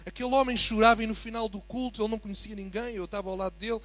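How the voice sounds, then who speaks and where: A man with a European Portuguese accent preaching as a guest in a church, building from a quiet greeting to fervent emphasis. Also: high-pitched, steady tone, rapid-fire, soft background music. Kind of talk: sermon.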